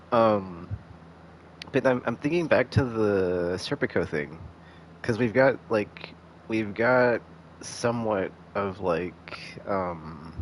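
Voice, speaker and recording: A man giving casual live commentary as a game streamer, rambling and halting, often restarting with "like". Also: deep voice, slight background noise, rough recording. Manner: rambling, halting, heavy use of filler "like".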